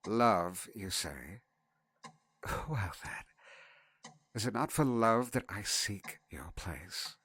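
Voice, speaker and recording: A man playing a vain but nervous gentleman illusionist in an audio drama, speaking formally and theatrically with a stammer. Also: lowish voice, lively intonation, extremely slow, pauses often, husky, no room sound, heavily acted. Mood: earnest, pleading.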